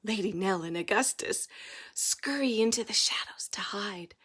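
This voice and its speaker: A woman reading a children's story aloud as an expressive narrator, dramatic and deliberate in her delivery. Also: mid-range voice, breathy edge. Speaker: storybook reader.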